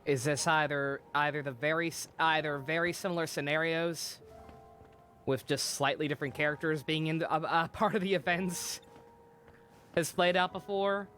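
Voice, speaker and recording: A man's voice: fairly high pitch, fairly even intonation, moderate pace, a bit bright, faint music behind. A man doing casual live game commentary, rambling and swearing as he thinks aloud. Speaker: video game streamer.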